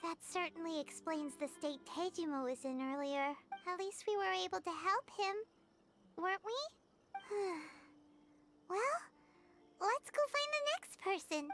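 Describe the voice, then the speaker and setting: A woman's voice: very high-pitched, expressive, unhurried, a bit bright, soft background music, heavily acted. A woman voicing a small, chirpy, childlike fairy companion, earnest and chatty, in a video game. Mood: sympathetic. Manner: chirpy, speaks of herself in third person. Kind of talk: video game character dialogue.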